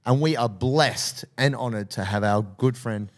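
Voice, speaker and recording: A man hosting a podcast, warmly effusive in introducing and reacting to his guest. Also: medium pitch, measured pace. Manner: effusive and enthusiastic. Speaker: podcast host. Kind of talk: casual podcast interview.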